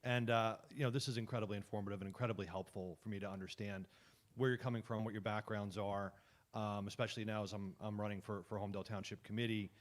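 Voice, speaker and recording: A man's voice: fairly low pitch, fairly even intonation, fast. A middle-aged man running for local office, speaking appreciatively and chattily to a panel. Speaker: local candidate. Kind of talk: casual remarks to a panel.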